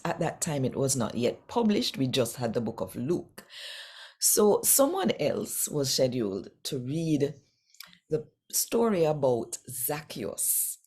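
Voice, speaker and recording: A woman from Jamaica with a Jamaican accent, telling a faith testimony in an earnest, measured way. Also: rumbling low, mellow, breathy edge. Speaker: Bible reader sharing testimony. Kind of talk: personal faith testimony to camera.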